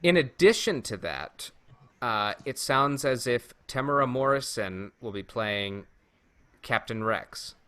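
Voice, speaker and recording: A man hosting a podcast in casual chat, talking in a halting, stop-and-start way. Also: mid-range voice, animated, bright, forceful tone. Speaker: podcast host. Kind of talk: casual chat about Star Wars rumors.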